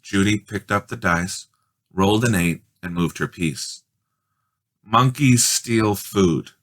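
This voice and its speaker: A man reading a children's story aloud in expressive, measured, dramatic narration. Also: low-pitched. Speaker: storybook reader.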